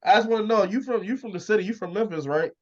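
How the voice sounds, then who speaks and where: A man hosting a casual interview in informal, slangy, sometimes profane speech over a low-quality internet call. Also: rapid-fire, highs cut off. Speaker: interview show host. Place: remote video call.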